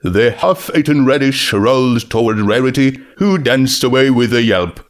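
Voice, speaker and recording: A man's voice: mid-range voice, moderate pace. A man reading a scripted story aloud as its narrator.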